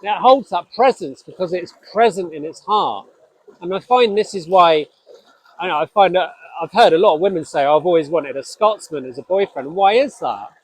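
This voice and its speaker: Scottish-born male host, no accent, earnest and halting, fairly high pitch. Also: expressive, husky, rough recording. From Scotland. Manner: earnest, halting, with frequent restarts. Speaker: spiritual podcast host. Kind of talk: reflective solo talk.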